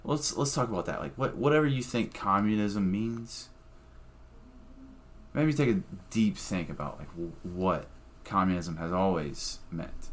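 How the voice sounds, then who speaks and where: A man's voice: deep voice, unhurried, a little hiss or hum. A man, a comedian guest on a podcast, talking casually in a blunt, profane, opinionated way.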